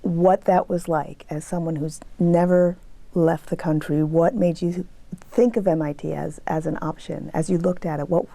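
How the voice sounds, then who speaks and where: A woman interviewer asking curious, gently probing questions. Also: on the low side, steady tone, moderate pace, gravelly, no echo. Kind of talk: oral-history interview questions.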